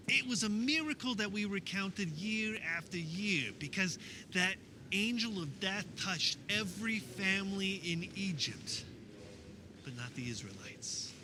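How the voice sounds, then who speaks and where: Casting: male actor as an earnest fisherman disciple, high voice with wide swings, wistful radio-theater monologue, noisy recording. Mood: solemn, reverent. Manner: conversational, wistful storytelling with wondering pauses. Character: earnest fisherman disciple of Jesus, fondly recounting memories. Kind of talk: dramatic first-person monologue in religious radio theater.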